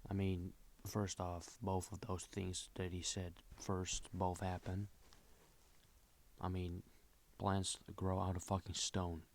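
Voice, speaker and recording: A man's voice: sits low, completely flat delivery, slow, slight background noise. A man giving casual, mocking reaction commentary, sounding incredulous and swearing freely. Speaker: reaction video host.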